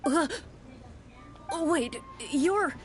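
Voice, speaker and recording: A high-pitched, anxious game townsperson, acted by a woman, speaks in flustered, earnest bursts. The delivery is hesitant and full of exclamations, pleading and grateful, with music playing underneath. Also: lively intonation, extremely slow, full character voice. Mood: startled. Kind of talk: game quest role-play.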